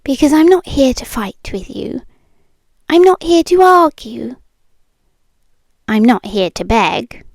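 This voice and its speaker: A British woman playing a possessive, eerily calm yandere ex-girlfriend in an intimate, partly whispered ASMR roleplay, cooing slowly and condescendingly with soft menace. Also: sits high, vocal fry, no room sound, studio quality, full character voice. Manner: slow, deliberate, softly menacing, condescending. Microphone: close microphone, dry.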